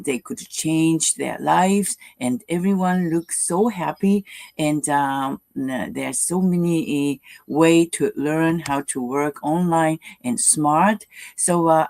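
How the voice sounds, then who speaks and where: Halting Japanese woman, creaky voice. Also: sits low, darkish tone, dry recording. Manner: halting, many fillers and restarts. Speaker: guest speaker. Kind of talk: personal testimony at an online live session.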